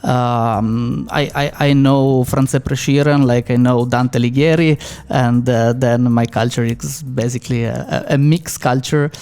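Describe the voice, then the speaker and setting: Slovenian man, halting English, laughing easily. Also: mid-range voice, fairly even intonation, a little mellow, clean tone. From Slovenian-Italian border area. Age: 30s. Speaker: winemaker guest. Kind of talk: casual interview answers.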